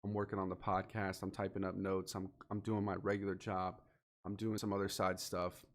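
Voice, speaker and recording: Male podcast host, somewhat low pitch, sniffly from allergies, earnest and direct motivational delivery. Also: flat delivery, a little fast. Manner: earnest, direct, speaks to the listener. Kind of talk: solo motivational podcast monologue.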